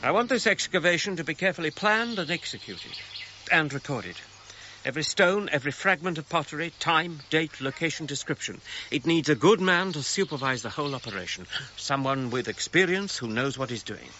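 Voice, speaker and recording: An actor playing a formal, exacting gentleman archaeologist in a radio drama, with a precise, commanding manner. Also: fairly high pitch, lively intonation, brisk, muffled recording, slight background noise.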